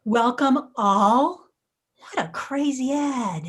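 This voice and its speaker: Woman acting a high, husky, fussy housewife. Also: takes it slowly, exaggerated voice. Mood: fussy, annoyed. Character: fussy, gossipy, disapproving suburban housewife. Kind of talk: staged play reading.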